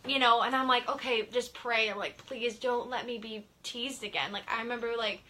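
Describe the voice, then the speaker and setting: Woman, high-pitched, very bright tone, chatty, laughing, casual interview guest. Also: fairly even intonation, normal speed. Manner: chatty, laughing, acts out her younger self. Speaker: psychic guest.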